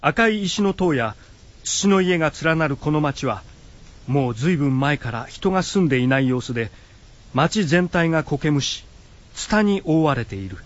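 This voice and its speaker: A man's voice: fairly high pitch, animated, moderate pace. A man narrating a scripted radio adventure drama. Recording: muffled, music behind.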